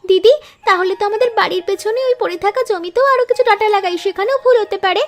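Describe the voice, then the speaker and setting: A woman's voice: very high voice, fast talker, soft background music. A woman voicing an earnest, affectionate young girl, a poor flower seller and younger sister, in a cartoon moral story. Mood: eager.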